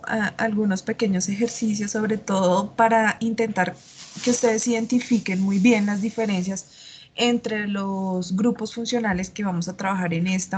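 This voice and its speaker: A woman's voice: medium pitch, darkish tone, slight background noise. A woman chemistry tutor, explaining patiently in an online class despite a slight cough and sore throat. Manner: patient, explanatory, frequent filler sounds. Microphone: computer mic on a video call.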